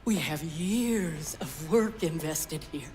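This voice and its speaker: An outspoken scientist, long reverb. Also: female voice, sits low, expressive, takes it slowly, darkish tone. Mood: defiant, protesting. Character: outspoken female research scientist, anxious, stubborn, wry. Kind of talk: video game cutscene acting. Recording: long reverb, music behind.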